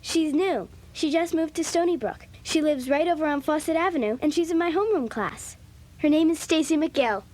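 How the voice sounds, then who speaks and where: A woman plays a breezy American girl in a children's audio drama. Her voice is high, and she talks in a confident, chatty way with friendly emphasis. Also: normal speed, a little mellow, lightly acted. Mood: upbeat, helpful.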